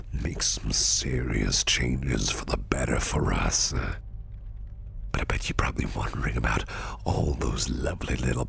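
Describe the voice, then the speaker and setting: An extremely deep, heavily gravelled villain boss murmurs in a close, breathy ASMR roleplay. He takes his time, silky and flirtatious with a predatory menace under the teasing. A faint hiss sits behind the voice. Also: fairly bright tone, exaggerated voice. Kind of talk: intimate ASMR roleplay, close and breathy, often half-whispered.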